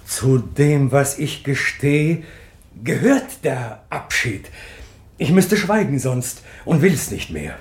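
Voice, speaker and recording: An actor playing an anxious, wistful older stage actor in a German radio drama, declaiming verse slowly and then muttering his doubts haltingly. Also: medium pitch, expressive, roomy sound, a little hiss or hum. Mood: solemn declaiming.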